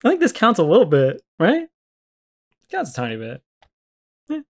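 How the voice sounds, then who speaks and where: A man's voice: high voice, wildly swooping pitch, normal speed. A young man giving conversational, argumentative video commentary, explaining his points and restating them for emphasis. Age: young adult. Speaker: video essayist responding to a streamer.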